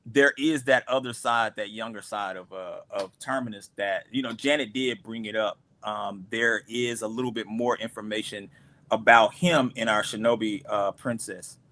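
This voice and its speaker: A man's voice: fairly low pitch, fairly even intonation, moderate pace, fairly bright tone. A man, the show's creator, talking casually on a cast livestream, often repeating words as he finds his thought. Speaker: creator of the comic series.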